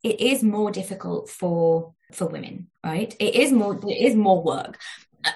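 A woman guest in an interview who talks in a thoughtful, searching way. She describes her own voice as squeaky and little, fills her speech with fillers and restarts, and often checks in with "right?". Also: expressive, measured pace, gravelly, darkish tone. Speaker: guest, negotiation researcher. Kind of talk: conversational interview.

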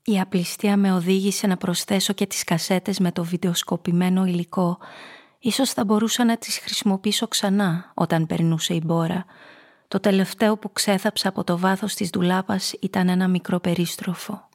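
An actress playing a hard-boiled femme fatale who narrates her downfall in a radio drama, in a measured, confessional way. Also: mid-range voice, fairly even intonation, a little mellow, close to an everyday voice. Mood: somber, tense.

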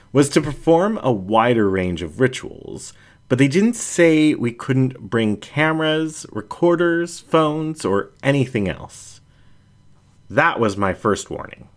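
A man narrating a first-person story from a script in a measured, deliberate way with emphatic phrasing. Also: mid-range voice. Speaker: audiobook reader.

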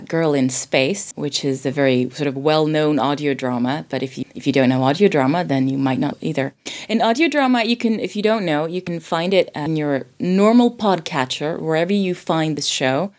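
Very low-pitched woman chatting on a podcast. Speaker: podcast guest. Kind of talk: casual recommendation chat.

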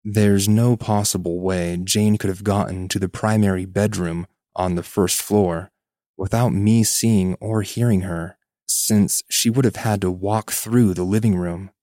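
A man's voice: deep voice, steady tone, unhurried, mellow, no echo, clean studio sound. A man reading a first-person spooky story as a scripted podcast narration.

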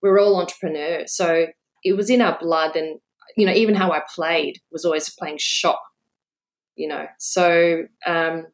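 A woman's voice: on the low side, fairly even intonation, a little slow. A woman, telling her life story as an interview guest in a chatty way, with frequent laughs and fillers. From Australia. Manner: warm, chatty, laughs often, many fillers. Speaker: guest, business owner.

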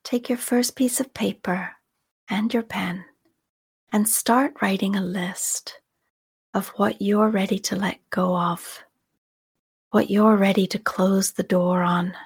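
A woman guides a meditation in a very breathy, whispery voice. She speaks slowly and calmly, leaving long pauses between soft, soothing instructions. Her pitch sits in a middle range for a woman. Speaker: meditation guide and podcast host. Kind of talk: guided meditation, slow scripted narration.

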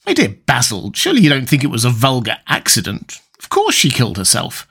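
A man reading a classic novel aloud, voicing a callous, vain young gentleman in an affected, theatrical manner. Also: medium pitch, wildly swooping pitch, a little fast, slightly rough, full character voice. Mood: matter-of-fact.

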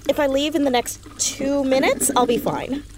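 An actress playing an earnest, stubborn fourteen-year-old girl who is quick to argue, in a naturalistic audio drama. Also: high voice, fairly even intonation, noisy background, natural voice. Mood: hurried.

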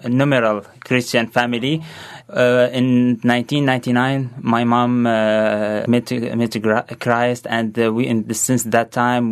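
A man with a Syrian Arabic accent, a guest answering interview questions haltingly and searching for words. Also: mid-range voice, smooth.

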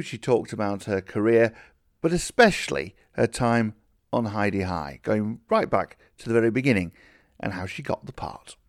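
A man's voice: fairly low pitch, no room sound, studio quality. A man presenting a scripted introduction as a podcast host and interviewer.

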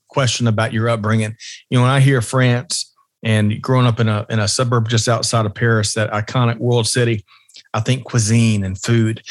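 A man's voice: mid-range voice, no room sound. Upbeat guy hosting a podcast, friendly, some uhs, dead dry mic. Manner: upbeat, friendly, uses fillers. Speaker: podcast host. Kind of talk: casual interview hosting.

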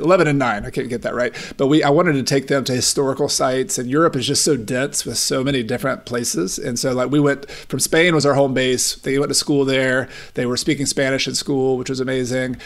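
Middle-aged male podcast guest, mid-range pitch, informal personal storyteller. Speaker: podcast guest, real estate investor and author. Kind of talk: casual chat, personal storytelling.

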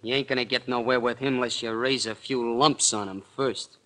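Man playing a sharp-edged American thug. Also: mid-range voice, fairly even intonation, brisk, lightly acted. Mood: goading, tough. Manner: slangy, rough tough-guy talk. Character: crude, thuggish male gangster henchman, eager for violence. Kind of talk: 1940s radio acting. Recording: vintage 1940s radio recording.

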